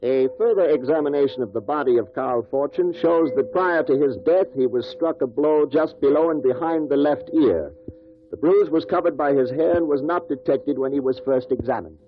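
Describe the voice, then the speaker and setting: A man's voice: on the high side, normal speed, lightly acted. A man playing a formal, clinical coroner reporting findings in a 1950s radio drama. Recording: dull lo-fi 1950s radio recording.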